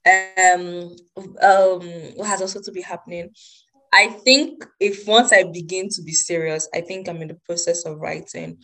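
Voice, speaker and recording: A woman's voice: fairly low pitch, steady tone, moderate pace, bright, forceful tone, low-quality recording. Brief: Nigerian woman, mid-twenties, podcast co-host, playful and teasing, laughs often. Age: 20s. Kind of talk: casual chat.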